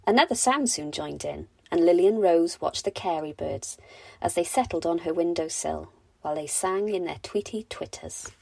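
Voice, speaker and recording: A woman storyteller gently and expressively narrating a bedtime story for children. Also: fairly low pitch, moderate pace, vocal fry, dry recording.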